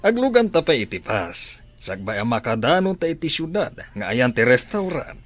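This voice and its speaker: Animated helpful male boss. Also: medium pitch, normal speed, soft background music. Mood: reassuring. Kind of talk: Ilocano radio drama acting.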